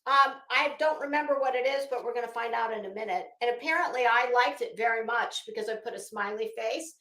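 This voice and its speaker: A woman with a fairly high, very bright and forceful voice delivers an opinionated livestream rant. She is blunt and combative, leaning hard on her words and cursing freely. The room adds a clear echo around her voice. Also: brisk. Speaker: commentary livestream host. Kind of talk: opinionated live commentary, casual rant.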